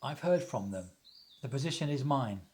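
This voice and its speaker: A man playing a loyal, practical young gentleman in a radio play, with an earnest, deliberate delivery. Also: mid-range voice, animated, close to an everyday voice. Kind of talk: theatrical radio play acting.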